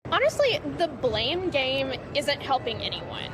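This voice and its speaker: A woman's voice: high-pitched, very animated, a little slow, poor audio. A woman giving her opinion as a traveler in a news vox pop. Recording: clear outdoor traffic background noise.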